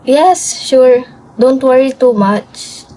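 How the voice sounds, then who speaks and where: A woman's voice: sits high, extremely slow, dark tone, heavily acted, poor audio. A woman playing a breezy, self-assured young woman in a radio drama, casual in her delivery. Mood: affectionate.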